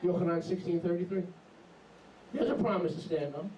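A middle-aged man preaching an animated, emphatic sermon into a handheld mic in a small congregation hall. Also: sits high, fairly quick, slight background noise. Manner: animated, emphatic, jumps into mocking voices. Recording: echoey hall, camera far off.

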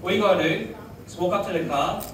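Young male stand-up, high-ish voice, deadpan delivery, live bar recording from far back. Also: fast, slight background noise. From Australia. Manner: deadpan one-liners with laughing asides. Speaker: stand-up comedian. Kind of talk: stand-up comedy set. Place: small restaurant bar, live audience. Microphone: handheld mic, filmed from far back. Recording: echoey room from far off, loud crowd.

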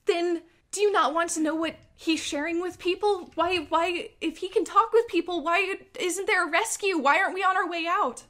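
Tabletop game noblewoman, woman performer: high voice, suspicious, agitated, insistent. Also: moderate pace, few pauses, sharp tone. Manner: urgent, insistent questioning.

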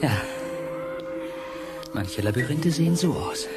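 An actor playing a tender, mysterious male lover in an intimate radio drama, slow and pleading. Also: a little high, animated, loud music behind the voice. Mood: calm.

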